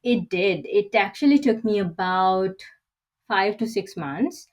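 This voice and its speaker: A woman's voice: mid-range voice, lively intonation, slow, darkish tone. A middle-aged woman from Sri Lanka, a guest executive coach answering interview questions in a candid, reflective way and often ending points with "right?".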